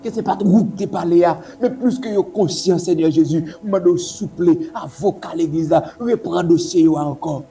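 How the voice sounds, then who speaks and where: A man's voice: on the high side, normal speed, gravelly, few pauses, mellow. A man leading a pleading, repetitive spoken prayer. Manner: repetitive, pleading, intoning invocations. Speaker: prayer leader.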